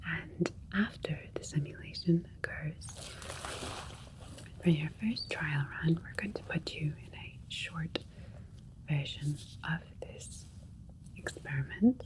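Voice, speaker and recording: Woman doing a calm alien doctor lady for asmr, whispery, really slow and soothing, kinda clinical. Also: low-pitched, rough recording. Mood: calm, reassuring. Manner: gentle, soothing, deliberate, hushed. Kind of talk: ASMR sci-fi roleplay, soft whispering.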